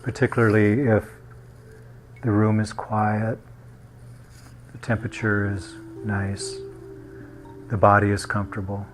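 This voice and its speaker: A man guiding a meditation in a gentle, unhurried manner, leaving long pauses between short phrases. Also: fairly low pitch, completely flat delivery, faint music behind. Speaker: meditation guide. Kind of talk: guided meditation.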